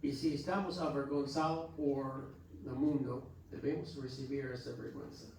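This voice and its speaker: American pastor preaching in an echoey hall. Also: male voice, medium pitch, steady tone, creaky, a little mellow, a little hiss or hum. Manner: earnest, exhorting with halting restarts. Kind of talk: Sunday school teaching. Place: church hall. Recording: echoey church hall from far off.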